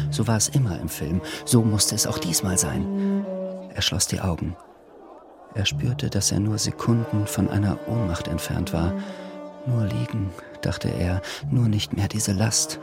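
A sober narrator of a wartime radio drama. His voice sits low, with prominent music playing behind him. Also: gravelly.

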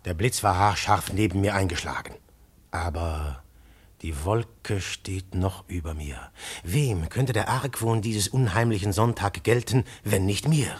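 An actor narrating as a tense, hunted undercover agent in a radio play. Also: male voice, low voice, a little slow, breathy edge. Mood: hushed. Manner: hushed, then urgent and breathless. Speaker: first-person narrator. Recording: narration over loud music.

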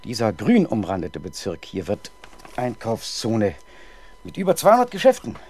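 A man's voice: fairly low pitch, wildly swooping pitch, moderate pace, a little mellow, breathy edge, full character voice. An actor playing an indignant, pompous male town councillor in a 1970s German radio crime drama. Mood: proudly explaining. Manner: blustering, pompous.

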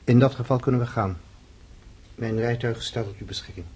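An actor playing a stern, ceremonious young count and freemason sponsor in a radio drama, solemn and formal. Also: male voice, medium pitch, fairly even intonation, a little fast, a little mellow, a little hiss or hum, close to an everyday voice. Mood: grave.

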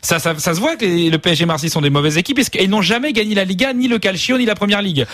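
A man giving an ironic, mock-serious football comedy chronicle on live radio, talking in rapid banter. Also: sits high, fairly bright tone, no room sound, clean studio sound. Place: radio studio.

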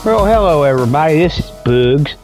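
A man's voice: on the high side, animated, brisk, music in the background. An older man hosting a comic radio segment, rambling casually in a folksy, repetitive, digressive way. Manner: folksy, drawling colloquial phrasing, repetitive and digressive.

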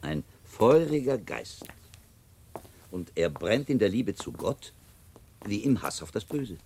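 An actor playing a shrewd, thoughtful monk and former inquisitor in a radio drama, speaking in a measured, probing way. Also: male voice, lowish voice, big pitch swings, gravelly, darkish tone, slight background noise, full character voice. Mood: philosophical.

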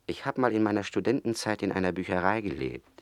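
Low-voiced man as a wry, bookish rat. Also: animated, no echo, natural voice. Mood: reminiscing. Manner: deliberate, mock-learned, hesitating. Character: bookish old-hand male rat, wry and reflective. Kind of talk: German radio play acting.

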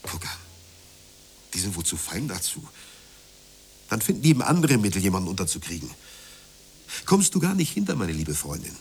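A man's voice: deep voice, very animated, moderate pace, pauses often, darkish tone, slightly rough, exaggerated voice. An actor playing a bitter, jealous working-class man in a radio play, scornful and ranting with emphatic delivery. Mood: scornful lecturing. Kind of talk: naturalistic radio play dialogue.

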